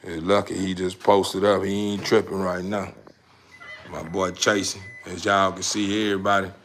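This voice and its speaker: A man's voice: sits low, flat delivery, moderate pace, a bit bright, a little hiss or hum. An American man hosting a casual livestream, rambling in a folksy, encouraging way with repeated catchphrases. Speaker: livestream host of a dog breeder channel.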